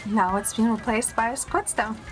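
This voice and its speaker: First-person narrator: gentle young heroine, female actor, higher register, scripted romance storytelling with faint music. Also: normal speed, close to an everyday voice, rough recording. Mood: gentle, narrating.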